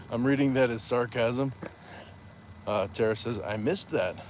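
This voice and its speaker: Slow-talking man on a walking livestream. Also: mid-range voice, slight background noise. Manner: relaxed, chatty, reads out viewer comments with playful asides. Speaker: livestream host. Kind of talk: casual live-stream chat while walking. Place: outdoors on a street, walking.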